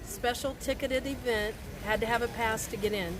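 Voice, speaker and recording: A woman's voice: on the high side, steady tone, moderate pace, crowd noise. A woman chatting casually and informally outdoors. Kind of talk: casual chat. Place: outdoors at a busy theme park. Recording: clear outdoor background noise.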